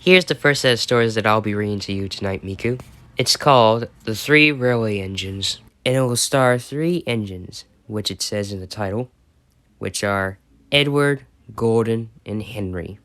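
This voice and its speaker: A man's voice: fairly low pitch, normal speed, a bit bright, no echo. American man reading a bedtime story. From United States. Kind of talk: storytelling and chat.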